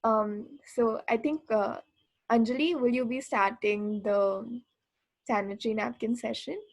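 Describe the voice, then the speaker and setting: A woman's voice: fairly high pitch, fairly even intonation, a little slow, a little mellow, no room sound, low-quality recording. A woman in her twenties informally facilitating an online group workshop as its host. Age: 20s. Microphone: online video call mic.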